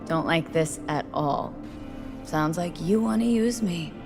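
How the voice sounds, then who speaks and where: An actress playing a wary, defiant, foul-mouthed young mercenary in a video game, speaking in a clipped, streetwise, sardonic way. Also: female voice, deep voice, takes it slowly. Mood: wary, dismissive. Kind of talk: video game dialogue acting. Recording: music behind the voice.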